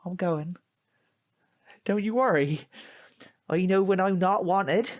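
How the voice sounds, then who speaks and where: Slow-talking, streetwise rejected teenage son. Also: male voice, high-pitched. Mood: hurt, defiant. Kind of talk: audio drama dialogue and monologue.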